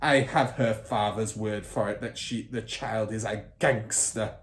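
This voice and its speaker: A man's voice: on the low side, steady tone, a little slow, natural voice. A man voicing a fierce, bullying middle-aged headmistress in a children's storybook, barking and snorting with scorn. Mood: scornful. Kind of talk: children's storybook character reading.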